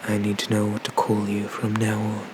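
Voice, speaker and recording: Guy doing haughty old dragon god asmr, low n slow, formal, kinda flat, drops into whispers. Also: background noise, natural voice. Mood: gentle, commanding. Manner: slow, deliberate, formal speech with murmured, breathy whispers. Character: ancient, haughty male Eastern dragon god, condescending but merciful. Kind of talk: ASMR roleplay, scripted.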